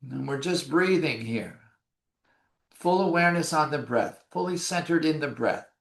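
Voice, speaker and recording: A man leading a yoga class through breathing exercises, giving slow, calm, measured cues. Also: a little high, echoey room. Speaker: yoga instructor. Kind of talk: guided breathing instruction.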